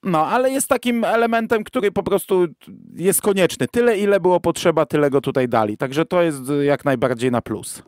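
A man's voice: sits high, normal speed, no echo, clean studio sound. A man co-hosting a podcast, giving a casual, informal and matter-of-fact review. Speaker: podcast co-host.

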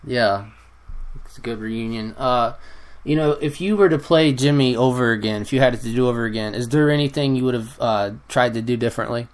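Guy hosting a podcast, casual, lots of uh's, middle pitch. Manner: halting questions with "uh" pauses. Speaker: podcast host. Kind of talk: casual interview chat.

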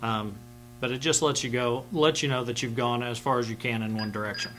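Male instructor, middle-aged, medium pitch, plain-spoken and informal walk-through, faint hum behind the voice. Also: steady tone, fairly quick. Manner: plain-spoken, step-by-step explaining. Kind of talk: informal instructional demonstration to camera. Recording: clear background hum and noise.

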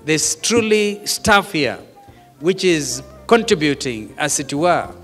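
A man giving a formal speech in a deliberate, oratorical manner. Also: a little high, sing-song, fairly bright tone. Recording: echoey hall PA with music behind.

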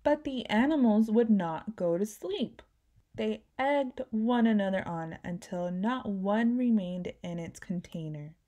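Mellow woman reading a storybook aloud. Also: mid-range voice, animated, measured pace. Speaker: children's book reader.

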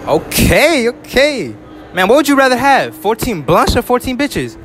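A man's voice: very high-pitched, big pitch swings, moderate pace, a bit bright, soft background music. A young man from New hosting a casual street interview in a shopping mall, talking into a handheld mic in upbeat slang and repeating "all right" a lot. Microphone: handheld mic held to speakers.

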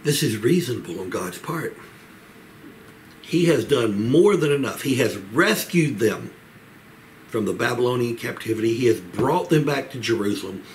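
Measured older man preaching, noisy recording. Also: medium pitch, expressive. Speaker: pastor leading a Bible study. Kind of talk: Bible teaching, expository sermon. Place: small home office, indoors. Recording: clear background room noise.

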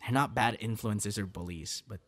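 A man's voice: fairly low pitch, normal speed, fairly bright tone. Man acting a friendly, coaxing young guy. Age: young adult. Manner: earnest, coaxing, sighs and breathy reactions. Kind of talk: ASMR audio roleplay, one-sided dialogue to listener.

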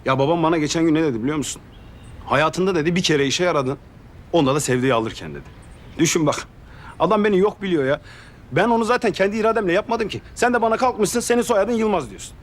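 A man's voice: fairly high pitch, lively intonation, natural voice. An actor playing Ferman, an anxious young man who pleads and complains about being his family's underdog. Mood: pleading. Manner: fast, pleading, self-pitying. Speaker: actor in a TV drama. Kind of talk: TV drama acting.

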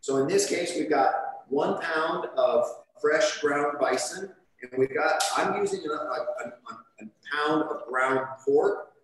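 A man cooking on a studio kitchen set, explaining each step in a relaxed, chatty way. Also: a little high, slow, few pauses. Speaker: chef demonstrating a recipe. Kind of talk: live cooking demonstration. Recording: echoey kitchen with light background noise.

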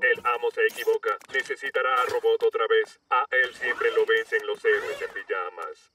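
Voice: steady tone, normal speed, far from the mic, music in the background, close to an everyday voice. An actor voicing a loyal, anxious robot servant in a Latin American Spanish cartoon dub, with a mechanical voice. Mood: worried, matter-of-fact. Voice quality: deep, mechanical voice. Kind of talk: children's animated cartoon dub.

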